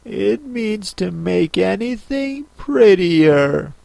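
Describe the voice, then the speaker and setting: A woman voicing a polite, curious, easily affronted young girl in a storybook, with careful, drawn-out delivery. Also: medium pitch, expressive, vocal fry, dark tone, dry recording, voice pushed up, exaggerated voice. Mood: doubtful.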